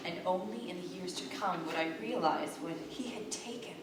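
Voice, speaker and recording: A woman reading a literary story aloud in a theatrical, deliberate way, as part of a staged performance. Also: fairly low pitch, very noisy. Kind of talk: dramatic literary storytelling, scripted narration. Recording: distant live theatre recording, echoey, music behind. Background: music and sound design.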